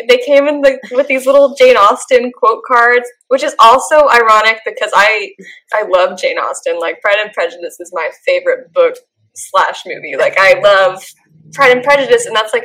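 A young woman co-hosts a casual podcast, her voice bright and a touch high. She gushes and chats with animated, quick energy, breaking into laughs now and then. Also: rough recording. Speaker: podcast co-host. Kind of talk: casual chat.

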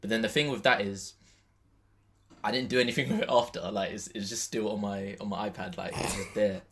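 Lowish-voiced young man in casual chat. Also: fairly even intonation, a little fast, a bit bright. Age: 20s. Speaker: podcast co-host.

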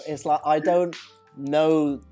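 A man co-hosting a casual podcast conversation, talking in a measured, reflective way with pauses and soft laughs. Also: fairly high pitch, level tone, faint music behind. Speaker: podcast co-host.

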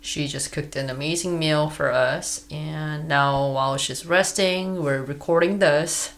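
A podcast co-host chatting casually, easygoing and unhurried, laughing often. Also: medium pitch, steady tone, a bit bright. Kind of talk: casual chat.

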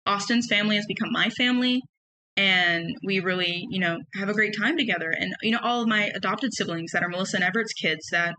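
A young woman with a loud, emphatic voice, telling personal stories in a casual solo podcast. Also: medium pitch, quick pace, poor audio. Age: young adult. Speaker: podcast host.

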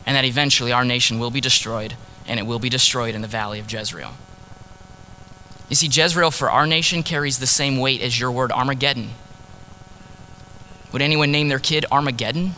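A man preaching in the first person as a grieving ancient prophet, earnest and sorrowful, dropping to a hush on key words. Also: mid-range voice, a little hiss or hum, close to an everyday voice, rough recording. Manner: earnest, sorrowful storytelling, drops to hushed tones on key words. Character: grieving ancient male prophet, heartbroken husband. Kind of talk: dramatic first-person sermon monologue.

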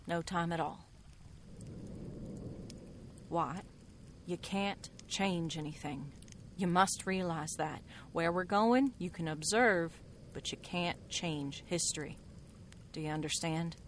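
An actress playing an enigmatic, worldly woman mystic in a radio drama, skeptical and knowing, with deliberate, eloquent, theatrical phrasing. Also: fairly low pitch, a little hiss or hum, natural voice. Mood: calm, hypnotic.

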